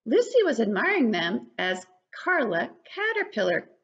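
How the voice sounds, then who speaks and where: An older woman reads a picture book to small children. Her high voice moves up and down a lot and has some creak in it. The sound is a little dull, as from a webcam at home. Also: a little slow. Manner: animated, addressing young children. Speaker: children's story reader. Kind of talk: read-aloud picture book narration for preschoolers. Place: living room at home.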